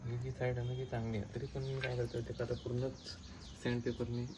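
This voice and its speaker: Malvani man, flat delivery, noisy recording. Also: mid-range voice, a little fast. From Konkan, western India. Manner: informal, explaining step by step while working. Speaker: motorcycle vlogger. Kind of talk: casual hands-on restoration vlog. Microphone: handheld phone. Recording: noisy outdoor handheld phone recording.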